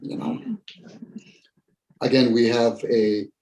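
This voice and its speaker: A man's voice: mid-range voice, very animated, takes it slowly, a little mellow. Man, 50s, show host, casual rambling talker in a roomy space. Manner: rambling, reflective, jokey. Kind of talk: casual group chat. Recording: roomy with faint noise.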